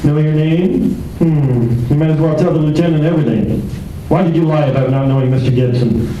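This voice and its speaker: A man playing a flirtatious, wisecracking private detective in a radio play, with a teasing, unhurried manner. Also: a little high, dark tone, a little hiss or hum, lightly acted. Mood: dry, accusing. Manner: teasing, unhurried, breaks into crooning. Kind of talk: old-time radio detective drama acting. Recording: echoey distant room, music behind.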